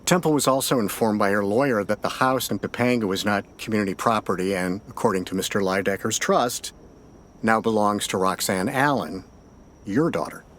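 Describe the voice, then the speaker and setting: An actor playing a steady, probing American sheriff's detective in an audio drama, questioning in a measured, matter-of-fact way. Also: male voice, lowish voice, a little hiss or hum, natural voice.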